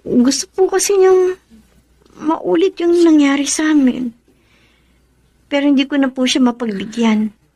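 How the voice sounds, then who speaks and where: High-voiced, heartbroken young woman. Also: unhurried, pauses often, dark tone. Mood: troubled, confiding. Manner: emotional, melodramatic. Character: young Filipina woman, heartbroken, betrayed, indignant in love. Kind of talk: Tagalog radio drama acting.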